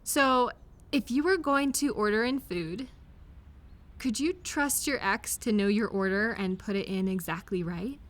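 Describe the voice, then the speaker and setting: A woman playing an affectionate, reassuring friend or partner in an intimate comfort audio roleplay, speaking gently and directly to the listener. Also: mid-range voice, a little slow, natural voice. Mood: concerned, supportive.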